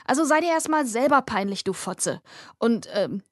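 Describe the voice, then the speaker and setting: A woman's voice: fairly high pitch, animated, moderate pace, no echo, clean studio sound. Woman voicing a brash fourteen-year-old girl. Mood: defiant.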